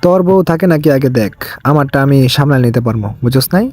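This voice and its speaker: A man's voice: medium pitch, lively intonation, fast talker, lightly acted. A man voicing a hapless, easily flustered young male ninja in a comic anime parody dub, speaking colloquially with stammers and exclamations. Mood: taunting, annoyed.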